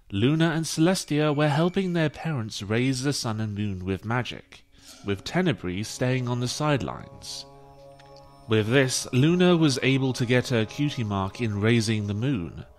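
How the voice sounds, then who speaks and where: A man narrates a scripted fantasy story in storybook style. His voice is of average pitch, and music plays behind it. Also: animated, a little slow.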